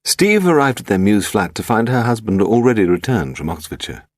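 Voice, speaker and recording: A man's voice: on the low side, sing-song, fairly quick, no room sound, studio quality. A man with an English accent reading scripted audiobook narration of a story.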